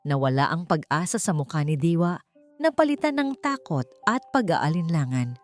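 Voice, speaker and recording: A woman's voice: deep voice, sing-song, moderate pace. A woman narrating an audiobook in a dramatic, scripted storytelling style. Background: background music.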